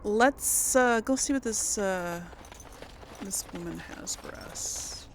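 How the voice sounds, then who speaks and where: A woman's voice: mid-range voice, slow, noisy background. A woman hosting a gaming video, giving casual commentary and thinking aloud informally as she plays.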